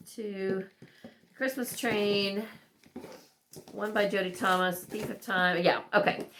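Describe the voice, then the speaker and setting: A woman talking casually as a book vlogger, thinking aloud in halting, conversational asides. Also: mid-range voice, fairly bright tone, slight background noise. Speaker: book vlogger reviewing recent reads. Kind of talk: casual chat to camera.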